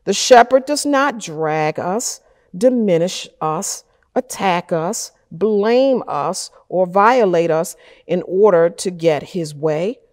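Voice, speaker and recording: A middle-aged woman pastor preaching a sermon from a church lectern, with slow, measured delivery and deliberate pauses. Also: lowish voice, animated. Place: wood-paneled church chancel, at a lectern. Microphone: fixed camera at a distance. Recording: church with a long reverb tail.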